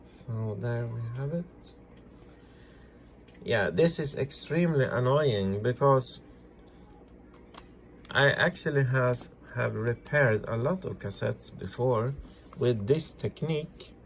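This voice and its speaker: A man presenting a casual hands-on repair tutorial, talking through each step in halting, simple non-native English. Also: mid-range voice, a little hiss or hum. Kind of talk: casual hands-on demonstration, narrating as they work.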